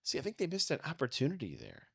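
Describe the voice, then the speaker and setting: A man's voice: a little high, huge pitch swings, quick pace, creaky, pushed and bright, husky, no echo, studio quality. A man co-hosting a podcast, giving opinions and asking questions in casual conversation. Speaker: podcast co-host. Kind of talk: casual discussion.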